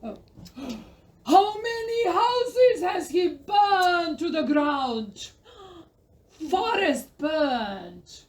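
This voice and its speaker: A woman playing a grand, self-assured dragon-slaying knight in a children's audio play, deliberate and theatrical. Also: extremely high pitch, big pitch swings, exaggerated voice. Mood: incredulous. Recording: echoey room.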